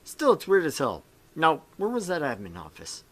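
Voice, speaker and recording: A man's voice: a little high, wildly swooping pitch, normal speed, voice pushed up. A man voicing a bewildered young male student in a visual novel game, muttering in exasperation. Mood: exasperated.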